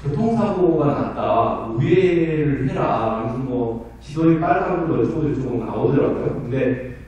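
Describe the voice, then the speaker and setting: A middle-aged man who is hoarse from a cold gives a polite, conversational presentation at a conference. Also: mid-range voice, dark tone. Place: large conference hall. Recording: very echoey hall, voice distant.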